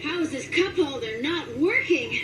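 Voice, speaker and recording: A woman playing a feisty, grumbling young female space pilot in a video game, exasperated and animated. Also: high-pitched, moderate pace, heavy background noise, voice pushed up. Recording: game clip under loud music.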